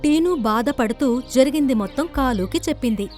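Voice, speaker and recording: A woman's voice: sits high, lively intonation, fairly quick, darkish tone. A woman narrator reading a scripted children's story. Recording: background music under the voice.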